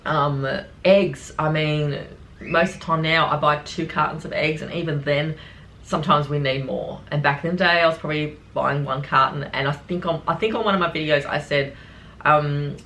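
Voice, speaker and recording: A middle-aged woman with a croaky voice from a cold, chatting casually and rambling to her viewers about groceries. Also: low voice, a bit bright. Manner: chatty, rambling, many fillers. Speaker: vlogger. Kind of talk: casual chat to camera.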